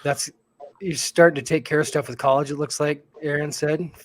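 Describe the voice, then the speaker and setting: Halting, reflective man in his forties. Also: a little high, steady tone, slight background noise. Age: 40s. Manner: reflective, halting with many fillers. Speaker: livestream co-host. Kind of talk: casual livestream chat.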